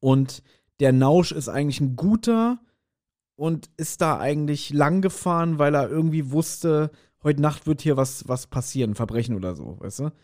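Higher-pitched man in casual podcast chat. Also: lively intonation, normal speed, darkish tone. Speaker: podcast co-host. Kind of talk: casual chat, speculating about an audio drama.

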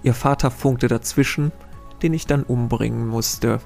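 A man's voice: mid-range voice, fairly even intonation, unhurried, gravelly, a little mellow, faint music behind. A man hosting a casual quiz podcast, reading out riddles and wandering into chatty, self-correcting asides.

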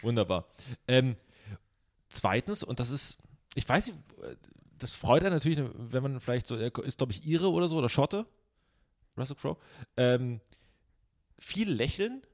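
A man's voice: medium pitch, very animated, normal speed, pauses often, a little mellow. Podcast co-host, young man, loose and teasing, plenty of ums. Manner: rambling, joking, many fillers. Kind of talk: casual chat.

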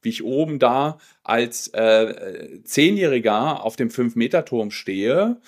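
A man in his forties talks about himself as a guest in an interview. His voice is strong and commanding at a middle pitch, and he speaks reflectively, often pausing and repeating words as he searches for the next thought. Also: sing-song. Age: 40s. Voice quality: loud, commanding voice. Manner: reflective, halting with frequent pauses and repeated words. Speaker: podcast guest, personal development coach. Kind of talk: personal storytelling in an interview.

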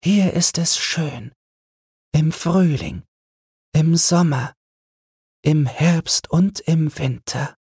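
Storybook tree spirit, a tender little girl acted by a man: lifted, very breathy voice, deliberate and softly lilting. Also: on the high side, no echo, clean studio sound, pitched above the performer's own voice, full character voice. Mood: gentle, tender. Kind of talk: bedtime fairy tale reading.

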